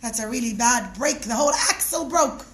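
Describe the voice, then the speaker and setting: A woman's voice: a little high, lively intonation, moderate pace, slight background noise, lightly acted. A woman voicing a concerned, obliging male village workman in a children's story.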